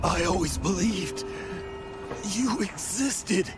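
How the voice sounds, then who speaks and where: A man's voice: sits high, animated, takes it slowly. A man voicing an awestruck, wistful young bard in a video game. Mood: wistful awe. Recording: loud music over the voice.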